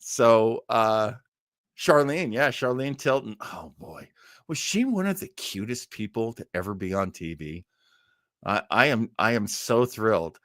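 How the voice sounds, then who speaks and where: A man's voice: lowish voice, lively intonation, unhurried, a bit bright, dry recording, studio quality. A man hosting a livestream, chatting casually with viewers in a rambling, stop-start way. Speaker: host of a livestream show.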